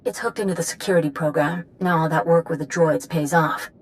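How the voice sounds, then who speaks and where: A woman's voice: low-pitched, fairly quick, close to an everyday voice. A woman playing a cocky, tough mercenary sidekick in a video game, cool and brash. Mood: brisk.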